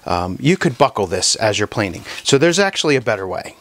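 A man's voice: lowish voice, dry recording. A man teaching hand-tool woodworking in his shop, talking casually with frequent fillers and restarts while he demonstrates. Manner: relaxed, halting, many fillers and restarts.

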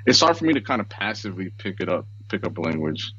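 An American man in his thirties, co-hosting a podcast over a video call, relaxed and chatty with frequent chuckles. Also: sits low, fast, slightly rough, no room sound. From United States. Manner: relaxed, chuckles often, many fillers. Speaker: podcast co-host. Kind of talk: casual video-call chat. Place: small room at home, indoors. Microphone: headset on video call. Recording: slightly dull, lo-fi call audio.

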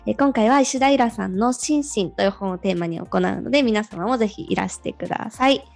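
A woman's voice: medium pitch, a little fast, gravelly. A woman co-hosting a show in a polite, conversational style. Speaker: co-host. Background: background music.

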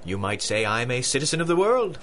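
An actor playing a pompous, jovial British colonel and big-game hunter in a radio drama, with hearty, old-fashioned upper-class talk. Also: male voice, mid-range voice, big pitch swings, a little fast, fairly bright tone, a little hiss or hum.

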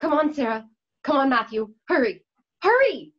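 A woman's voice: high-pitched, big pitch swings, normal speed. An actress playing a frantic, protective, pious frontier mother in a Western radio play. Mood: panicked, urgent. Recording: dull home video call audio.

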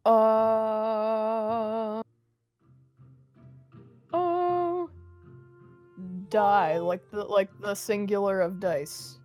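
A woman's voice: medium pitch, lively intonation, drawn-out words, long pauses, darkish tone, faint music behind. A woman chatting casually as a player in a group game. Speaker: game participant. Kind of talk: casual chat.

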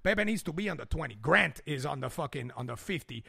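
Brief: male radio host, gravelly and bright-toned, profane and blustery. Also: a little high, animated, fast, no room sound, clean studio sound. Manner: profane, argumentative, blustering, slips into Spanish slang. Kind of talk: crude comedic radio banter.

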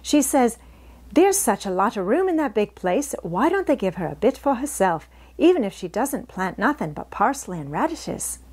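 A woman's voice: on the high side, very animated, normal speed, a little mellow, breathy edge. Woman playing a chatty young country housemaid. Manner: folksy dialect grammar. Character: chatty, good-hearted young Yorkshire housemaid.

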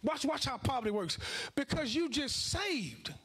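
A man's voice: very high-pitched, sing-song, measured pace, fairly bright tone. A middle-aged American man preaching a sermon, emphatic and colloquial, repeating phrases, speaking into a handheld microphone in a church. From Chicago and Houston, United States. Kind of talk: sermon to a congregation. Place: indoor church stage at a lectern.

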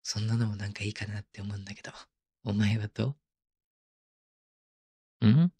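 A man playing a tender, clingy, teasing young husband in an intimate ASMR voice drama, speaking softly and playfully close to the microphone. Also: on the low side, level tone, moderate pace. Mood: coaxing, intimate. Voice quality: soft, breathy. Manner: murmuring, playful, coaxing. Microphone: close microphone, binaural.

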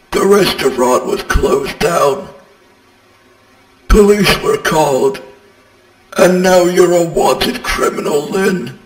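A man plays a vengeful haunted animatronic creature from a horror game, bitter and menacing. The pitch sits high for a male voice, and every phrase is drawn out extremely slowly. The voice rings from a distance in a long, echoing reverb. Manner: slow, menacing, drawn-out delivery. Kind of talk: horror game character voice lines. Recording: echoey long reverb, distant, music behind.

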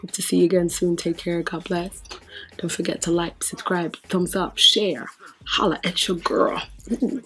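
A middle-aged woman from Nigeria with a British accent and a hoarse voice, chatting casually through a makeup tutorial in her sitting room. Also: lowish voice, flat delivery, normal speed, fairly bright tone, low-quality recording. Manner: chatty, breezy, thinking aloud while working. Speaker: makeup vlogger. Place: sitting room in front of a sequin backdrop.

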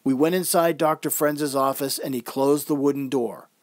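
A man's voice: medium pitch, steady tone, normal speed, dry recording, studio quality. A man reading a scripted first-person story as narrator, in the manner of old-time radio drama.

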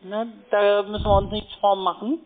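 A woman's voice: medium pitch, little pitch movement, normal speed, a little hiss or hum. A woman making a sales call in unscripted conversation, speaking a little hesitantly and adding tag questions. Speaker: trading sales caller. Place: phone call. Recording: tinny phone line.

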